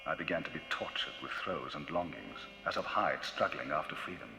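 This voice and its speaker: A man giving a dramatic, theatrical reading of a classic novel as the narrator of a vinyl audiobook, his pitch and loudness rising and falling widely. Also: a little fast, slight background noise, rough recording. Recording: vinyl audiobook with music bed. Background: music.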